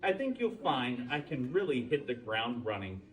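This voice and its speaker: A man's voice: mid-range voice, big pitch swings, normal speed, distant mic, lightly acted, rough recording. A man voicing an earnest, eager male storybook moose who talks in a chatty, awkwardly hopeful way. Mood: eager, confident.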